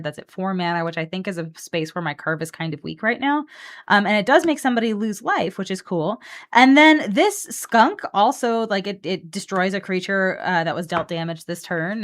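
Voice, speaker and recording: A woman chatting casually on a live game stream, playful and animated as she thinks out loud. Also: lowish voice. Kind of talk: casual live-stream chat while building a card deck.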